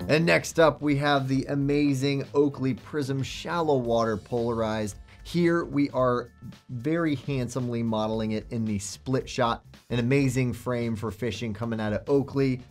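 A man's voice: mid-range voice, fairly even intonation, normal speed. A young man hosting a product review to camera, chatty and enthusiastic in a salesman way, with faint music behind him. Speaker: host.

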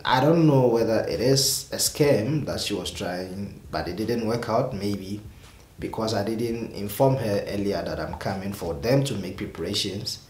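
Young male vlogger, lowish voice, exasperated and rambling, echoey room with faint hiss. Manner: exasperated, rambling with repeated restarts. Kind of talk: casual talk to camera. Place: small indoor room with purple lighting.

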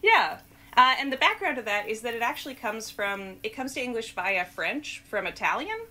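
A woman in her thirties hosting a casual word quiz over a video call, bubbly and quick to laugh, with lots of filler words, on a headset mic in a small room. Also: on the high side, lively intonation, sharp tone. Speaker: host. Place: small room with blue backdrop.

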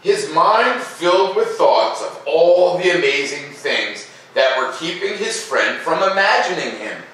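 A middle-aged man hosting a children's read-aloud, mixing expressive picture-book reading with informal, casual asides. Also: a little high, unhurried. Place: living room by a fireplace, indoors. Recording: echoey room, distant mic, faint noise.

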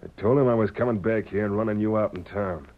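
An actor playing a sly, excitable gunman henchman with an American Western drawl and a folksy, blustering way of talking, in a 1930s radio western. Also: fairly low pitch, fast talker, close to an everyday voice. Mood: placating, urgent. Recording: muffled old 1930s radio broadcast.

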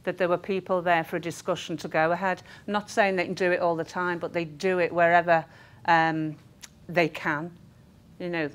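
A woman's voice: lowish voice, little pitch movement, normal speed. A middle-aged woman speaking earnestly and emphatically in an informal training talk to a group. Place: meeting room, indoors. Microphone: clip-on lapel mic.

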